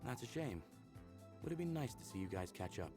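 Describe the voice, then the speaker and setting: An actor voicing an upbeat, smitten young man in a visual novel game, speaking in an earnest, casual, expressive way. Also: mid-range voice, brisk, natural voice. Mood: friendly. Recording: background music under the voice.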